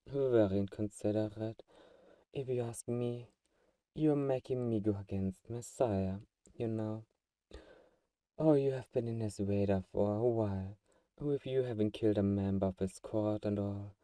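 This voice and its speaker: A man playing a teasing, possessive vampire who is friends with a hunter, in an intimate ASMR audio roleplay with sighs and soft chuckles. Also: lowish voice, a little slow, dry recording, distant mic, lightly acted. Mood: confessional, wry.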